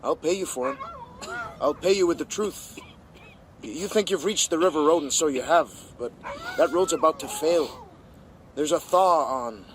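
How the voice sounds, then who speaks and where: An actor playing a stern, seasoned, protective frontier prospector in a radio drama, giving plain-spoken, emphatic warnings. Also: male voice, fairly high pitch, moderate pace, pauses often, slight background noise, natural voice, poor audio.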